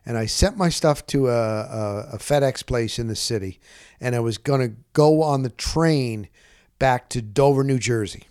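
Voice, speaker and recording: A man's voice: mid-range voice, normal speed, smooth, dry recording, studio quality. A middle-aged man hosting a sports podcast, talking in a casual, rambling monologue and telling stories in an informal way, often interrupting himself. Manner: informal, animated storyteller with self-interruptions.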